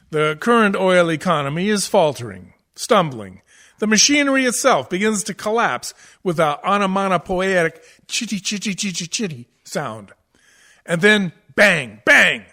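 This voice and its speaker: An actor playing a pompous, erudite scholar of musical theater who lectures pretentiously, in a comic radio play. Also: male voice, high voice, bright, forceful tone, exaggerated voice.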